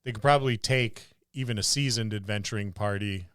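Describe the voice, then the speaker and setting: A man's voice: fairly low pitch, a little fast, fairly bright tone, clear, resonant tone, dry recording, studio quality. A man co-hosting a podcast, talking casually about running tabletop games. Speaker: podcast co-host. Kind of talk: casual tabletop gaming discussion.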